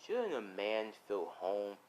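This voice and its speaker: A man voicing a vengeful villain husband and gang boss in slow, quiet, sinister taunts, in an amateur story. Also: on the low side, very animated, voice pushed down, rough recording. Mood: wounded sarcasm.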